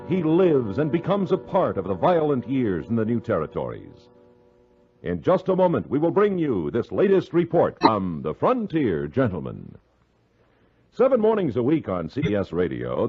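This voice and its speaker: Swooping, formal American radio announcer, male. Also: medium pitch. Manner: dramatic, formal, emphatic. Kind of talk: 1950s radio announcing, scripted. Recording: muffled old radio, music behind.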